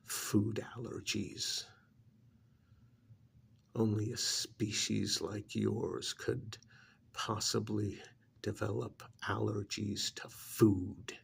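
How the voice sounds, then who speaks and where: A man voicing an ancient, contemptuous creature in a stolen human body, in a menacing, breathy, gravelly ASMR roleplay monologue. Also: on the low side, little pitch movement, extremely slow, pauses often, slight background noise, heavily acted. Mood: menacing, stern. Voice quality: gravelly, husky, breathy half-whisper. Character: ancient disdainful male creature possessing a dead man's body.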